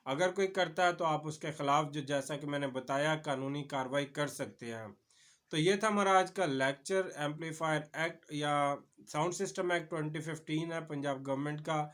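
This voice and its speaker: Pakistani guy, slightly high voice, lawyer explaining stuff to viewers, drops in english legal words. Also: normal speed, fairly bright tone. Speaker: lawyer giving legal lectures. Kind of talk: informal explanatory lecture.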